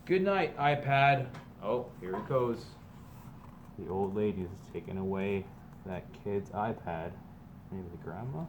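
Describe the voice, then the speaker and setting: Man reading aloud over clear background noise. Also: fairly low pitch, expressive, a little slow. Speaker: teacher reading aloud to students. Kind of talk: children's picture-book read-aloud with casual asides.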